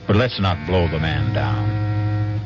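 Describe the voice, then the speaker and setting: An actor playing a wry storyteller host of suspense tales on old radio, with a playful, theatrical delivery. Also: male voice, extremely low pitch, expressive, slow pace, exaggerated voice. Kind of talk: old radio drama hosting. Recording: dull old radio recording, music behind.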